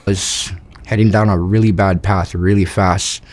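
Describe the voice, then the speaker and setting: A man of thirty from Hawaii, calmly telling his life story in an interview. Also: sits low, a little slow. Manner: steady, matter-of-fact storytelling. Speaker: interview subject.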